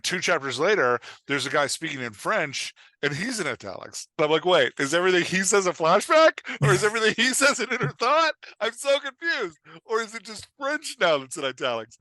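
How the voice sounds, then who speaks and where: A man's voice: on the high side, pushed and bright, no room sound. A man chatting animatedly as a guest expert on writing, emphatic and joking, often acting out imagined exchanges. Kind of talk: animated casual podcast chat.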